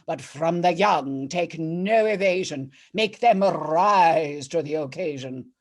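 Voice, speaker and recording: A woman's voice: low-pitched, takes it slowly. A woman playing a righteous female judge, declaiming comic rhyming verse theatrically and savouring each rhyme. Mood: commanding. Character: regal, righteous female judge of a bawdy court. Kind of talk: dramatic verse reading, rhyming comic poem.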